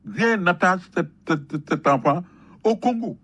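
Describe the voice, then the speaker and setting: A man with a Senegalese accent speaks in a husky, slightly high voice. He delivers an impassioned patriotic talk like a storyteller, leaning on repetition and emphatic, rhetorical phrasing. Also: animated, a little slow, a bit bright. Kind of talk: impassioned patriotic public talk, switching between French and Wolof.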